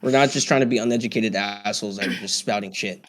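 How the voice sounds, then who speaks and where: A man's voice: lowish voice, fast, a loud crowd. A young man hosting a casual podcast chat, swearing often and restarting his sentences. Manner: swears a lot, stammering restarts. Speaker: podcast host.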